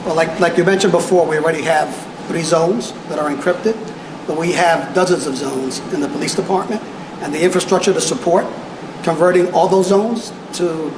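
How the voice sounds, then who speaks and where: A man's voice: on the high side, normal speed. A man answering press questions as a police technology official, hesitant and repeating words as he searches for phrasing. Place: indoor press conference room. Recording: echoey room with clear background noise.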